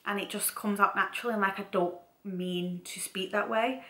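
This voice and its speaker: A young woman with a bright, forward voice and a Mancunian accent that has picked up some Scouse. She talks in a casual, chatty vlog style, circling back over her points. The sound is roomy, with noticeable echo from an indoor space. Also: medium pitch, fairly even intonation, normal speed. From Manchester, England. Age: young adult. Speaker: vlogger. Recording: roomy, echoey home room.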